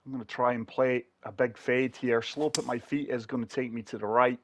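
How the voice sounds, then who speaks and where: A man's voice: mid-range voice, steady tone, a little fast. A man chatting through a golf video game, struggling for breath with a chest infection. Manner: chatty, talks viewers through each shot. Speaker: gaming channel host. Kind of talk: casual golf game commentary.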